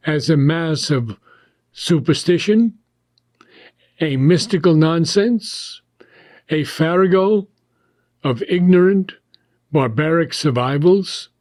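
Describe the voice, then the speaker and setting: A man narrates a philosophical audiobook in a slightly raised register. His delivery is very slow and measured, broken into short, deliberate phrases with frequent pauses. The sound is dry, with no room around the voice. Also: a little high, darkish tone, no echo. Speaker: audiobook reader. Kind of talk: reading a philosophical text aloud.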